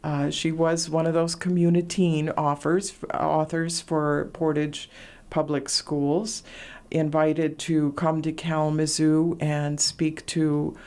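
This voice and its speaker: A middle-aged woman, a radio host talking as a guest and sharing stories in relaxed conversation, with fillers. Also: low-pitched, slow. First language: Latvian. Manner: warm storytelling, reflective, frequent fillers. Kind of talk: casual interview chat.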